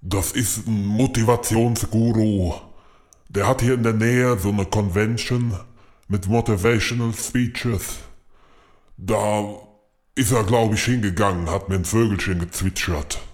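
A man's voice: lowish voice, steady tone, a little slow, a little mellow, lightly acted. A man playing a seedy, boastful ageing tabloid columnist with a crude, rambling manner in a comedic audio drama. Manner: rambling, boastful, self-satisfied.

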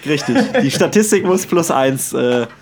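A man's voice: a little high, animated, moderate pace. A young man co-hosting a podcast in casual chat with friends. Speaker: podcast co-host. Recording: clearly noisy podcast recording.

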